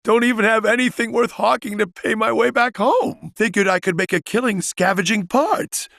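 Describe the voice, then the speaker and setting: An actor playing a broke, stranded scavenger in a video game, grumbling and downtrodden. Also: male voice, high-pitched, moderate pace, vocal fry, a bit bright, no room sound, clean studio sound. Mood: bitter, dejected.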